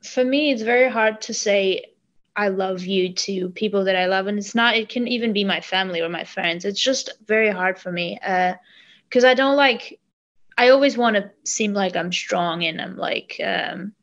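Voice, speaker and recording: A woman's voice: mid-range voice, moderate pace, fairly bright tone, muffled recording. A young woman from Armenia, a native Armenian speaker, talking about her songwriting in an interview, hesitant and restarting her sentences as she searches for words. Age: young adult. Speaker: singer. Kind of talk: casual interview.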